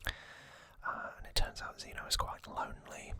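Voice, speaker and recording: An ASMR host speaks entirely in a breathy whisper with a bright, crisp edge. The delivery is very slow and informal, arriving in short, halting phrases as in a relaxed recap for listeners. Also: dry recording.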